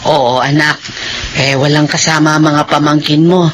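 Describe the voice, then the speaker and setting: A woman's voice: low voice, fairly even intonation, normal speed, lightly acted. Woman acting a caring elderly Filipino grandmother. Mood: relieved. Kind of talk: Filipino radio-style drama acting. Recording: noisy ambience behind the voice.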